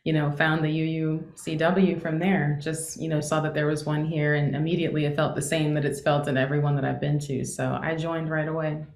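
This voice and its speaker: Low-voiced American woman, echoey sound. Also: level tone, fairly quick, hardly any pauses, a little mellow. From East Coast United States. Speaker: congregation member. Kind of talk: casual personal testimony.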